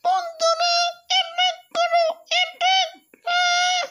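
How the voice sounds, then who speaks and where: A performer voicing a feisty little fox in a cartoon, squeaky and confrontational, as leader of an animal pack. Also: monotone, slow, very noisy. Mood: fierce, threatening. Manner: bold, confrontational. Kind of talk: cartoon character dub.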